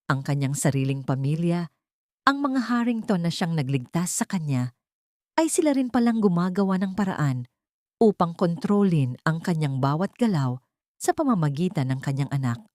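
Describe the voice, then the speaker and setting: A woman's voice: fairly low pitch, big pitch swings, moderate pace, a little mellow, dry recording, clean studio sound. A woman storyteller reading a scripted dramatic audio story.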